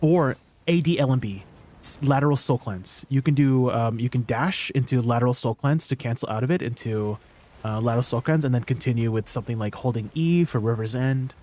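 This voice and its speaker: A man's voice: mid-range voice, fairly quick, darkish tone. A man who grew up in Canada and whose first language is Tagalog, live-streaming a game. He chats casually, laughs often, and explains controls with plenty of ums. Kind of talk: casual live-stream chat and gameplay tips.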